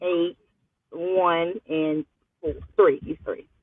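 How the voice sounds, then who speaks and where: A woman's voice: lowish voice, fairly even intonation, slow pace, slightly rough, dry recording. Flustered young woman on a phone line. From Louisiana. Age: 20s. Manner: flustered, evasive, slangy. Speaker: caller. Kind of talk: casual phone chat. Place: phone call from a parked car. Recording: muffled, phone-like call audio.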